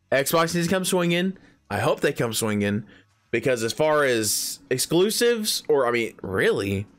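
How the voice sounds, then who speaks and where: Lively, rambling thirty-year-old man. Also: on the high side. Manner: rambling and emphatic, with restarts, slang and swearing. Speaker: gaming video creator. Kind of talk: casual opinion vlog.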